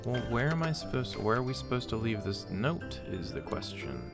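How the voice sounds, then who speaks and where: A man's voice: mid-range voice, sing-song, moderate pace, gravelly. A man giving casual, chatty commentary while playing a game, thinking aloud and poking fun at himself. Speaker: let's play host. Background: loud game music.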